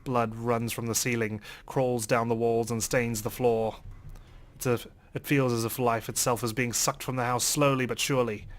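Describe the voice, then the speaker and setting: A man's voice: medium pitch, level tone, moderate pace. A man reading a visual novel aloud as a let's play host, in dramatic, theatrical first-person narration.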